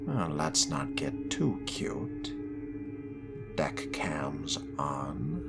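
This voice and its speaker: A man voicing a composed, wry vampire fledgling in video game role-play, musing in a measured, hushed way. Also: rumbling low, sing-song, full character voice. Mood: curious, musing. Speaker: player role-playing the protagonist. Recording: loud game music behind.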